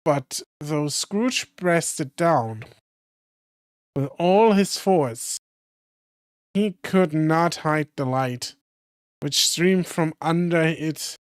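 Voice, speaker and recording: Hoarse streamer narrating a classic story; slow, dry, close mic. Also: medium pitch, dry recording. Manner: slow careful reading with small slips. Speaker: streamer and reader. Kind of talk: reading a classic novel aloud on stream.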